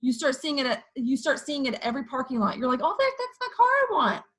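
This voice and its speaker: A woman with a hoarse voice giving an energetic motivational pep talk to her sales team, often checking in with "okay" and "you guys". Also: sits high, quick pace. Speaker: direct sales team leader.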